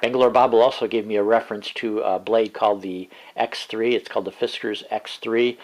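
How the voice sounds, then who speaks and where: An older man with a lowish voice hosts his own show from a small room at home. He rambles along easily, dropping fillers as he goes, talking close to a webcam. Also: fairly quick, low-quality recording. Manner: rambling, relaxed, many fillers. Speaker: show host. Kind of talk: casual video show talk.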